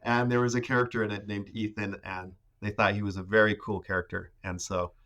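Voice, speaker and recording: A man in his thirties, a podcast guest, talking casually about growing up in the rainforest, with many pauses and restarts. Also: on the low side, level tone, a bit bright, dull audio. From Ecuador. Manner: hesitant, many fillers and restarts. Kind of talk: casual conversation.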